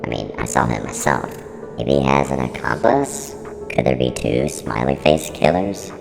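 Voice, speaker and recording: An extremely deep, gravel-heavy service unit speaks in a long reverb over music. It is blunt and flippant, quick to get defensive, and its pitch swings around in an analog horror web-series style. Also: male voice, heavily acted. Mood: defensive, casual. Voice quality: very deep, creaky, heavily reverberant. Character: snarky, evasive service-unit showrunner from a horror studio. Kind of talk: in-character mock interview.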